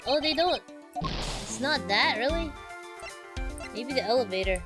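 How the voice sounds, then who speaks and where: A woman's voice: fairly high pitch, animated, unhurried. A Filipino-accented woman on a casual gaming livestream, playful and thinking aloud as she plays. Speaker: game streamer. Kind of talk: casual live gaming stream, reading game dialogue aloud. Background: game music.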